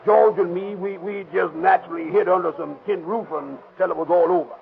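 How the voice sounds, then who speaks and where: An old tinny radio play carries a nervous, simple-minded rustic witness. His voice is high for a man and heavily creaky. He stammers and dodges in deferential, folksy phrases. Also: fairly even intonation, fairly quick. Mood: nervous, evasive. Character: nervous, evasive, simple male eyewitness at a dump. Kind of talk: 1930s radio drama acting. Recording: tinny, band-limited 1930s radio recording.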